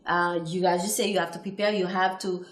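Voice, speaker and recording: A woman from Haiti with a Haitian accent hosting a talk show interview, speaking haltingly and mixing languages. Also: fairly low pitch, level tone, reverberant. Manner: halting, switches between English, French and Creole, many fillers.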